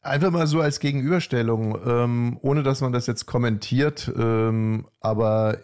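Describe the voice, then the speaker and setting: A man co-hosting a podcast, talking in a casual, hesitant way with many pauses and restarts. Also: medium pitch, darkish tone. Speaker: podcast co-host. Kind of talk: casual conversational commentary.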